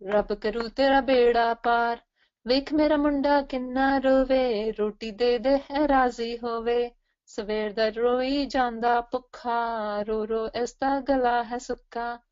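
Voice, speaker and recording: A woman's voice: a little high, darkish tone, smooth, highs cut off. A woman with a Punjabi accent voicing a village weaver, a pleading father in a folk tale, in sing-song rhyme.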